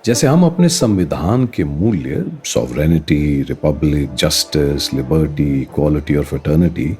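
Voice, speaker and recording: A man's voice: extremely low pitch, lively intonation, measured pace, darkish tone. A man playing a thoughtful political science professor in a radio play, explaining things expressively and emphatically. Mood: reflective. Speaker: political science teacher character. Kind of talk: radio play dialogue, Hindi mixed with English.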